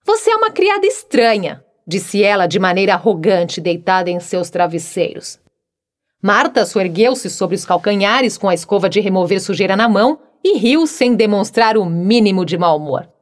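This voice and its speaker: A woman narrating an audiobook in a measured, expressive storytelling manner. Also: medium pitch.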